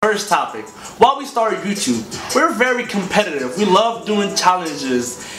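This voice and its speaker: A young man from Morocco, a native Arabic speaker, co-hosting a vlog in slangy, halting casual talk. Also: high-pitched, very animated. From Morocco until about ten, then US. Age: young adult. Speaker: vlogger, co-host. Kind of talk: casual chat to camera. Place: living room couch, indoors. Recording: roomy echo, music behind.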